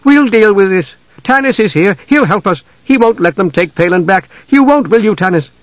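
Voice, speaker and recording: Man voicing an emotional mother, pitched up high. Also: lively intonation, normal speed. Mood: soothing, pleading. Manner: pleading, distraught. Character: grief-stricken mother, loving, pleading, soothing wife. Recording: roomy, dull audiobook sound.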